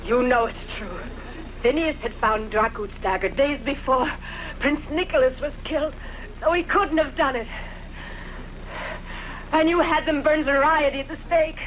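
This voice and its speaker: A woman's voice: sits high, measured pace, muffled recording. A woman playing a defiant young noblewoman in a dubbed costume melodrama, speaking in an indignant, declamatory way. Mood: accusing. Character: defiant young noblewoman accusing her father's murderer. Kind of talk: dubbed sword-and-sandal film melodrama. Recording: noisy fan-dub over old film soundtrack.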